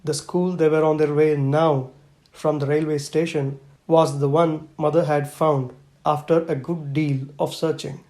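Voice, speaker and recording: A man's voice: on the high side, a little mellow. A man reading a children's book aloud as scripted audiobook narration. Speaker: audiobook reader.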